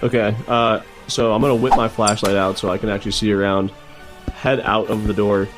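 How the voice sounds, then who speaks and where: A man's voice: on the low side, level tone, a little fast. A man playing a tabletop role-playing game, speaking informally and brashly as he describes actions and slips into character. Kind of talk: casual in-character role-play. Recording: music behind the voice. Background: music.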